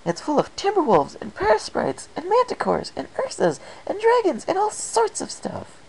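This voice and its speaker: A performer voicing an excitable, bubbly young female cartoon-style pony in a storybook reading, breathless and exclamatory. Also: very high voice, normal speed, gravelly, slight background noise, pitched above the performer's own voice, full character voice, rough recording. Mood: spooked, dramatic.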